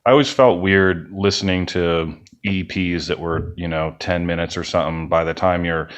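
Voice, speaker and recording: Low-voiced man, casual and rambling. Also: steady tone, moderate pace. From United States. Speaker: band member, podcast guest. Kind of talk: casual interview chat.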